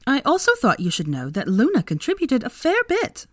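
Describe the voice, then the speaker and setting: A woman's voice: sits high, wildly swooping pitch, normal speed, a bit bright, no echo, voice pushed up, exaggerated voice. A sly grown woman who needles her friend with smirking, mock-polite remarks, read by a woman. Her voice rises and falls a lot, and the sound is dry and close. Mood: teasing. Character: Ginny, adult woman, sly and teasing.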